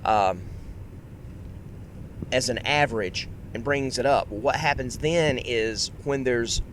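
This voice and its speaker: A man's voice: slow pace, fairly bright tone. A man hosting a casual solo podcast, talking in a rambling, self-correcting way and projecting his voice. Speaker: podcast host. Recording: faint road rumble underneath. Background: road noise.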